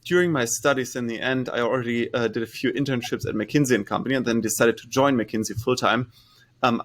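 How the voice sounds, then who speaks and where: German accent guy, founder talking thru his story in an interview, steady mid voice, lots of ums. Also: mid-range voice. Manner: measured, with frequent fillers and restarts. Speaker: startup founder and CEO. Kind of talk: conversational interview answers.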